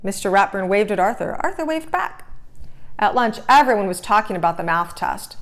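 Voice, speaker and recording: A woman narrating a children's storybook aloud in an expressive read-aloud style. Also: medium pitch, moderate pace.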